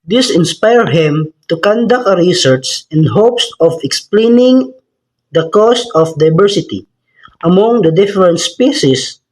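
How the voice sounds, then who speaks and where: A young man reading his part of a class report in a steady, recited way. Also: sits high, unhurried, poor audio. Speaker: student presenter.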